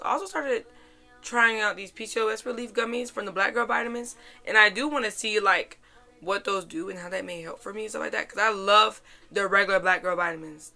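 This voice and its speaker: Bright, slightly raspy young woman chatting. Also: mid-range voice. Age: young adult. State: hoarse after a weekend out. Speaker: podcast host. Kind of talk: casual chatty life-update vlog.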